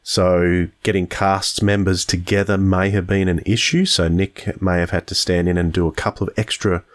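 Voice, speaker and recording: Low-voiced man chatting on a podcast. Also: flat delivery, normal speed. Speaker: podcast co-host. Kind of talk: casual review chat.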